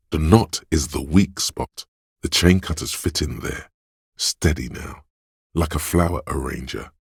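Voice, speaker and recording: A man voicing a cool, sly, goading inner voice in a video game, narrating in the second person with deliberate, savored words. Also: dry recording, studio quality, exaggerated voice. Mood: observant, measured. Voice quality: very deep, gravelly, husky.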